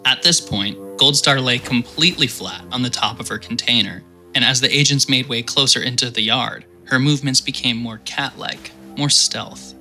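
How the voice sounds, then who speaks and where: Male host-narrator for a sci-fi audio drama, very bright tone, faint music underneath. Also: mid-range voice, normal speed, hardly any pauses.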